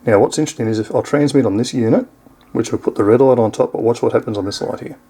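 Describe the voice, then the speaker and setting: A man's voice: medium pitch, fast, dark tone, slight background noise. A man with an Australian accent giving a casual, unscripted product demonstration in an easygoing, explanatory way. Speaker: product reviewer.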